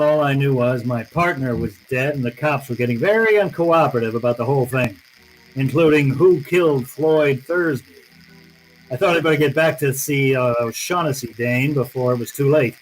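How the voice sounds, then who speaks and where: Hard-boiled detective man acting, mid-pitched, curt, slangy, sardonic, radio drama, noisy ambient background. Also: normal speed, close to an everyday voice. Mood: wry narrating. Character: tough, wisecracking hard-boiled private detective.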